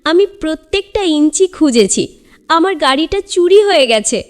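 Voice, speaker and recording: Woman acting shrill, emotional little cartoon boy. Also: lively intonation, moderate pace. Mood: tearful accusing.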